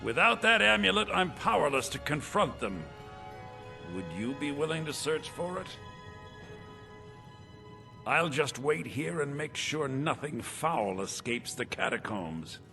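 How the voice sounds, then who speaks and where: An actor playing a solemn, pious priest in a fantasy video game, formal and preachy. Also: male voice, fairly high pitch, lively intonation, a little slow, vocal fry, soft background music. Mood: worried, earnest. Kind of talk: video game character dialogue.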